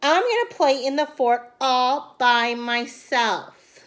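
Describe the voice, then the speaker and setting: A woman's voice: high-pitched, animated, takes it slowly. A woman voicing a determined, self-reliant little girl in a storybook, with emphatic delivery. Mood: indignant.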